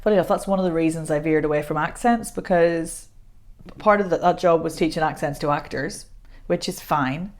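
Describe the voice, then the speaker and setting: Chatty woman, lowish voice. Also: a little fast. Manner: chatty and self-deprecating, laughs often. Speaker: voice coach guest. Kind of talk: casual podcast conversation.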